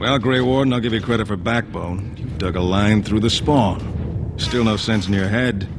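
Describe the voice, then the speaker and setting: An actor playing a gruff, grudgingly respectful dwarven warrior in a video game, speaking in a blunt, terse soldierly way. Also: male voice, normal speed, background noise, close to an everyday voice. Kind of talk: video game character acting. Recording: loud rumbling game ambience.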